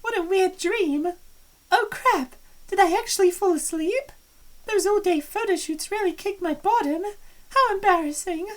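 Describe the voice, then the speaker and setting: A woman puts on a self-conscious young woman for a comic dub. The voice is pitched far up and girlish, with a flustered, eager-to-please delivery. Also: moderate pace. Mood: groggy, embarrassed. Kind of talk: cartoonish comic-dub acting.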